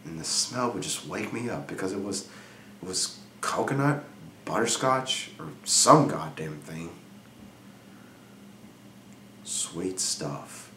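A man's voice: fairly high pitch, unhurried, sharp tone, recorded from a distance, pitched above the performer's own voice. Man performing volatile jealous husband, stage monologue, emphatic, obsessive, profane, echoey room, faint noise. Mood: brooding, bitter.

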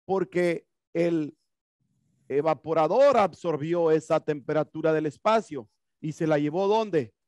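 High-voiced man teaching an HVAC class. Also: a little slow, a little mellow, no room sound. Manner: explanatory, asks and answers his own questions. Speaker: HVAC instructor. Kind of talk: classroom lecture. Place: classroom with whiteboard and HVAC equipment. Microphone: headset mic.

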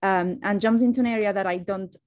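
A woman's voice: mid-range voice, fairly quick, darkish tone, no room sound. A woman from Syria introducing herself informally as a panelist, with light laughs as she talks. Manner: laughs lightly while talking. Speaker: panelist, AI startup founder.

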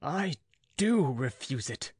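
A man playing an outraged, defiant scientist, pitched high. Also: big pitch swings, takes it slowly, husky, dry recording, clean studio sound, exaggerated voice. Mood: furious, defiant. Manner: forceful, declamatory. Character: outraged, defiant male scientist, the monster's creator. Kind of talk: audiobook character acting.

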